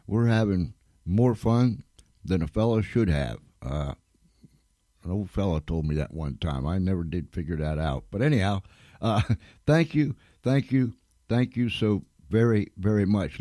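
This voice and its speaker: A man's voice: on the low side, animated, a little slow, dark tone, no echo, studio quality. A man hosting an informal live radio show, speaking to listeners in a folksy, effusive way and repeating phrases for emphasis. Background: music.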